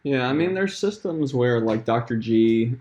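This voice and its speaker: A man's voice: mid-range voice, animated, a little slow, darkish tone. Guy who's a sports scientist, talks it through on a podcast, ums a lot. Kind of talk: casual chat.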